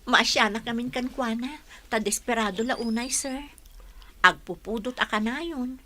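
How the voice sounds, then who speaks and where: A woman's voice: on the high side, a little slow, pushed and bright. A woman with an Ilocano Filipino accent playing a respectful, attentive young caregiver in a radio drama. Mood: respectful, worried.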